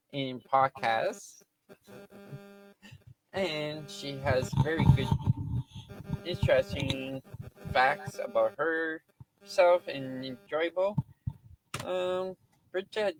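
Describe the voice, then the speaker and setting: A man hosting a podcast, speaking haltingly with hesitant phrasing. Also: fairly high pitch, rough recording. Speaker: podcast host. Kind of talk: podcast interview.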